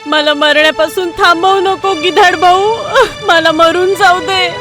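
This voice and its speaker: A woman's voice: extremely high pitch, steady tone, a little slow, over music, pitched above the performer's own voice. A woman voicing a distressed young female goat in an animal story, pleading in a plaintive, emotional way. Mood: despairing.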